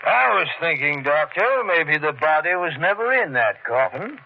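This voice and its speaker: An actor playing a skeptical, pushy small-town sheriff in a 1940s radio mystery, folksy and blunt. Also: male voice, a little high, sing-song, normal speed, creaky, heavily acted. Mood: suspicious. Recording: muffled, faintly noisy 1940s radio recording.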